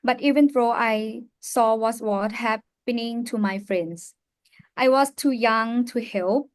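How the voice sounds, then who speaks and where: A young woman from Thailand with a Thai accent, telling her personal story as a youth ambassador on a live panel, earnest and halting with many fillers. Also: on the high side, no room sound. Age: young adult.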